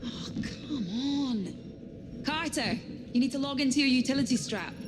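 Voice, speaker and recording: An actress playing an uneasy but dry-witted spaceship crew member in a cinematic video game, with an understated, natural delivery. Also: female voice, sits high, unhurried, noisy background. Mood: brisk.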